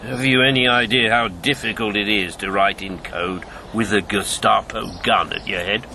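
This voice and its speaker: A man's voice: lowish voice, normal speed, creaky, noisy background. A man acting in a radio drama as an old former secret agent, jovial and world-weary, with a theatrical, bantering manner. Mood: wry, reflective. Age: 70s.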